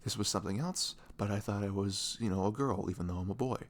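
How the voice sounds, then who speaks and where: A man playing a sweet, caring boyfriend in an intimate ASMR roleplay, speaking softly and tenderly in a velvety, often breathy voice with earnest, rambling reassurance. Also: fairly low pitch, fast, lightly acted. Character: sweet, caring, devoted young boyfriend talking in bed.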